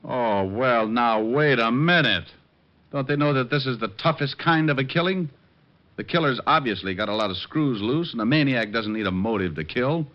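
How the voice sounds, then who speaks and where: An American actor playing a breezy, cocky private detective in old radio drama style, sardonic and full of wisecracks. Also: male voice, medium pitch, expressive, moderate pace, natural voice. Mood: exasperated. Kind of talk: 1940s radio drama acting. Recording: noisy, dull old radio recording.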